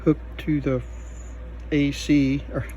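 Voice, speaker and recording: A man with a relaxed, mellow voice pitched a little high talks through a hands-on repair. He goes slowly and haltingly, muttering his thoughts aloud as he works. There is faint noise behind him. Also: dull audio. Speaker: vintage electronics repair video host. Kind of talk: unscripted hands-on repair commentary.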